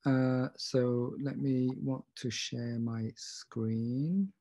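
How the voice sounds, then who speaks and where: A man, an eye surgeon, giving a relaxed webinar lecture over a computer microphone, with plenty of ums. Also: mid-range voice, drawn-out words. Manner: relaxed, self-deprecating, frequent ums. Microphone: computer mic on a video call.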